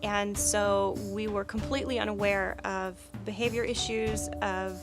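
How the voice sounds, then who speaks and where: A woman's voice: medium pitch, fairly even intonation, measured pace. Reflective woman over background music. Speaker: educational farm staff. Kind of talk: documentary interview.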